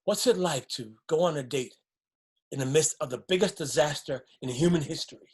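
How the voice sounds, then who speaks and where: A man's voice: a little high, brisk, slightly rough. An actor playing a defiant, hopeful man plotting escape in a stage drama, speaking earnestly and emphatically. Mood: tender, playful. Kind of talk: dramatic stage-play dialogue.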